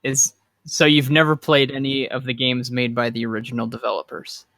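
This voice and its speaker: A young man talks games on a casual podcast in a gravelly, bright voice. He is measured and sure of his opinions, with small hesitations. The mic is close and dry. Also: mid-range voice, level tone, no room sound. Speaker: podcast co-host. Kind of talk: casual gaming podcast chat.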